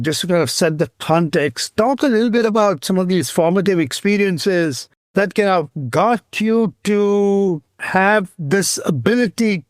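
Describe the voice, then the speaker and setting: Male podcast host with a higher voice, dry sound, measured interviewer. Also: no echo. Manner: measured, leading questions with a gentle lead-in. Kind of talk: interview questions.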